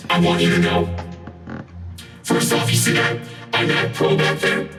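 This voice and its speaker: A man's voice: unhurried, a bit bright, soft background music. Vocoded man, echoey and musical. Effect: musical vocoder on chords. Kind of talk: played clip of talk run through a vocoder. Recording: very echoey, distant, with musical tones.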